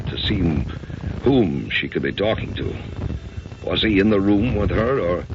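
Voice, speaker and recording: An actor playing an older, grieving husband in an old-time radio drama, anguished and apologetic, speaking haltingly and broodingly. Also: male voice, low voice. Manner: hesitant, brooding, halting delivery. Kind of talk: old-time radio drama acting and first-person narration. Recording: dull, noisy old radio recording.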